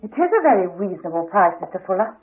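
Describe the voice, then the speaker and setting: An actress playing a determined, probing, well-to-do wife with an English accent, polite, clipped and insistent, in a radio drama. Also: female voice, lowish voice, big pitch swings, fast. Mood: firm, dry. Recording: dull, band-limited 1980s radio recording.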